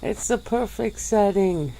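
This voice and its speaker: A woman voicing a centuries-old female vampire who speaks slowly and softly, with breathy little laughs, in an ASMR roleplay. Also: mid-range voice, expressive, slight background noise, pitched above the performer's own voice, low-quality recording. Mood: teasing, languid. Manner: slow, soft-spoken, with breathy little laughs.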